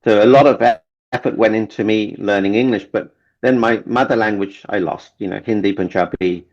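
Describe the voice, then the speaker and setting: A man's voice: fairly low pitch, fairly even intonation, fairly quick, a little mellow. A man from Kenya, a relationship coach guest, speaking earnestly and repeating key phrases for emphasis. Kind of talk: motivational interview talk. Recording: dull lo-fi sound.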